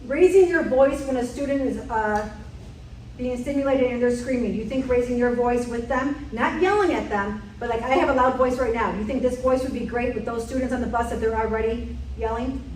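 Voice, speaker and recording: A woman's voice: fairly high pitch, fairly quick, a little mellow, slight background noise. A woman running a transport staff safety meeting, quizzing the room in a direct way. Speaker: safety trainer. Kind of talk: leading a staff safety quiz. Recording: echoey room from far off.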